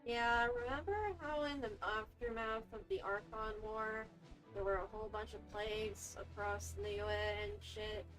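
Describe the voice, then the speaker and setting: A woman's voice: a little high, steady tone, unhurried, a bit bright, soft background music, poor audio. A woman game streamer giving casual commentary as she plays and reads along.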